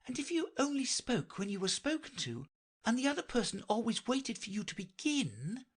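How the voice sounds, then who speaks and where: A woman's voice: a little high, very animated, moderate pace, slightly rough, voice pushed up. A woman voicing a polite, earnest young girl with a slightly timid manner. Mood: earnest girlish.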